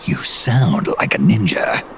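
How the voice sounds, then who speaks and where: An actor playing a gruff, weary veteran soldier in a video game, speaking tersely in a gravelly voice. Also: male voice, on the low side, very animated, a little hiss or hum, exaggerated voice, poor audio. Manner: slow, terse.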